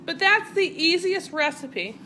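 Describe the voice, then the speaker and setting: A woman's voice: very high voice, very animated, unhurried, noisy background. A middle-aged woman with a Boston accent, chatting casually on a home cooking live stream and talking viewers through a recipe. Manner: chatty and rambling, talks to viewers directly. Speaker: cooking channel host. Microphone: live-stream device mic.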